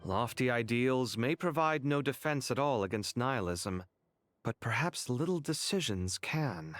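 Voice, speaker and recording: An actor playing a young male scholar in a game cutscene, expressive and quick to protest. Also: mid-range voice, no room sound. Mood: reflective. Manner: animated, exasperated, quick to protest.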